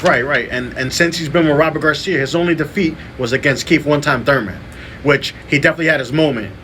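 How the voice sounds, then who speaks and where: A man's voice: fairly high pitch, fast talker, pushed and bright, a little hiss or hum. A man hosting a podcast, chatting casually as he runs through fight lineups and picks. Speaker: podcast host. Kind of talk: casual boxing podcast talk.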